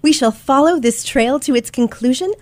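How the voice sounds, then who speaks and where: A woman's voice: sits high, moderate pace, lightly acted. A woman playing a confident, shrewd teenage girl detective in an old-fashioned radio play, speaking formally and theatrically.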